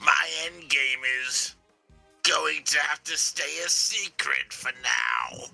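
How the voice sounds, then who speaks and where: A man acts out a smug video game kidnapper with a heavily creaky, sharp-edged voice. The delivery is slow and drawling, savouring every mocking tease. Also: fairly high pitch, huge pitch swings, soft background music, heavily acted. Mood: smug teasing. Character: taunting, smug kidnapper toying with pursuers on phone. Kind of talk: live video game voice-over.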